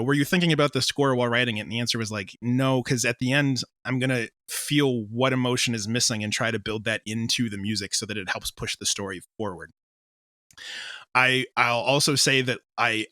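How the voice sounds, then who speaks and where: A man's voice: medium pitch, bright, forceful tone, dry recording. A man speaking casually as an interview guest, explaining his creative work and voicing his own thoughts as quotes. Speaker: composer and audio drama creator interviewed as a guest.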